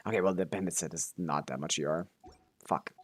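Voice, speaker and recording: A man's voice: low-pitched, fairly quick. A man giving casual live game stream commentary, thinking aloud as he talks through his options.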